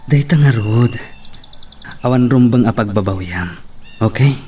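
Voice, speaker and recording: A young man sweet-talks his girlfriend in a smooth, reassuring way, played by an actor. His voice sits at an ordinary pitch, and a faint hiss runs behind him. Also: measured pace, dark tone, lightly acted. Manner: reassuring, sweet-talking, mixes English. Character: smooth-talking young boyfriend. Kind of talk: melodrama acting.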